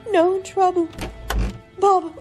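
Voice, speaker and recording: A woman playing a tender, grieving mother of a poor family in a radio drama, speaking with drawn-out sorrow. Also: very high voice, level tone, a little mellow, soft background music. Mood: subdued sorrow. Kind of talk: theatrical radio drama acting.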